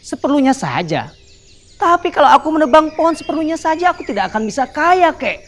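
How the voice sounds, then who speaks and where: A woman voicing a greedy, boastful male woodcutter who talks loudly and cackles. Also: high-pitched, big pitch swings, fast, slight background noise, voice pushed up.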